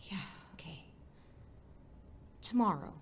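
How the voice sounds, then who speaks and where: A woman's voice: on the low side, lively intonation, slow. A woman playing an anxious, self-doubting teenage girl who narrates her superhero adventure in a hesitant, confiding, breathless way. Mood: shaken, hesitant. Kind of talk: first-person dramatic narration and dialogue. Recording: music underneath.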